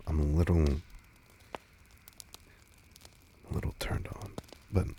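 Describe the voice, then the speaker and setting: Man playing a very low, gravelly vampire lover. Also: exaggerated voice. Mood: flustered. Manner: breathy, halting murmur, often dropping to whispers. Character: tender, teasing, insecure male vampire lover. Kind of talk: intimate roleplay audio drama.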